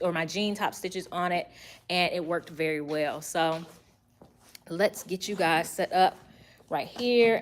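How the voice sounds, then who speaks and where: Chatty woman demonstrating sewing in an echoey room. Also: fairly low pitch. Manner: chatty and relaxed, thinking aloud while working; moves around the room, voice nearer and farther from the mic. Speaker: sewing channel host. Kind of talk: casual sewing tutorial livestream. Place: sewing office. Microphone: added mic, camera across the room. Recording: roomy echo, faint background hiss.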